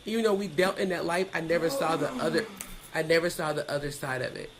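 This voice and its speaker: A woman's voice: sits low, fast, background noise. A woman guest on a podcast, frankly telling personal stories in colloquial, matter-of-fact language. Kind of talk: candid personal storytelling.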